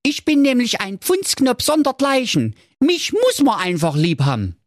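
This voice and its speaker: A man's voice: very high voice, huge pitch swings, a little slow, no echo, clean studio sound, voice pushed up, heavily acted. A man voicing a boastful, dim-witted young male suitor in a comic fairy tale, brash and blunt.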